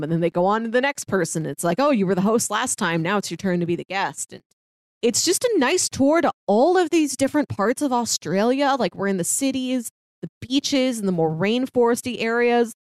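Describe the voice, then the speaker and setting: A woman's voice: on the high side, lively intonation, normal speed, dry recording. Chatty woman co-hosting a podcast, dry close sound. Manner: chatty, conversational, heavy use of "like". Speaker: podcast co-host giving recommendations. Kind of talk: casual film discussion chat.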